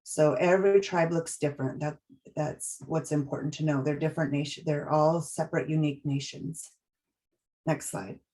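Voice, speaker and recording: A woman with a notably low voice gives a training presentation and moves through her slides step by step. She talks in a measured, explanatory way, pausing often and restarting her sentences. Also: fairly even intonation, warm tone, poor audio.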